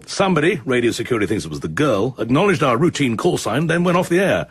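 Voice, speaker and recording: An actor playing an efficient, level-headed military chief of staff in a radio drama, British, with a brisk, precise way of explaining. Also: male voice, mid-range voice, big pitch swings, husky, no echo, studio quality. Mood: puzzled, brisk.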